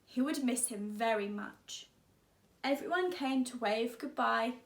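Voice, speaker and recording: A woman teacher reading a children's story aloud, expressively and deliberately, drawing out words. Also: fairly high pitch, reverberant.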